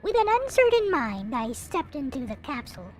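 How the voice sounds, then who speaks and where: High-pitched, theatrical woman narrator over music. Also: very animated, moderate pace, darkish tone. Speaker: video creator reading a visual novel aloud. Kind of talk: dramatic read-aloud narration of a visual novel. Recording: music playing behind the voice. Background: visual novel soundtrack music.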